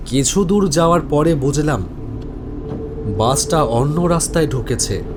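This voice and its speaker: A man's voice: fairly high pitch, a little slow, noisy background. A man narrates a scripted first-person horror story.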